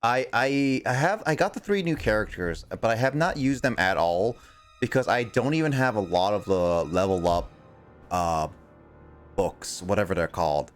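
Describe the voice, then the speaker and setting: Rambling low-voiced young man streaming a game. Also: expressive, normal speed, faint music behind. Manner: rambling, self-mocking, stumbles over names. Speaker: streamer playing a video game. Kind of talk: casual livestream chat with viewers.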